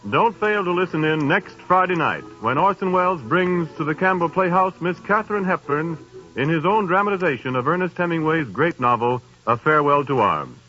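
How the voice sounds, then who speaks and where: Male 1930s network announcer, crisp and formal, bright, faint music under a dull lo-fi broadcast. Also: fairly high pitch, expressive, a little fast. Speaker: radio announcer.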